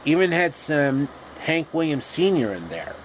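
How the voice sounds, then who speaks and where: A man telling an improvised comic retelling of a fairy tale, haltingly and repeating phrases. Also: a little high, rough recording. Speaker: storyteller. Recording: steady background noise.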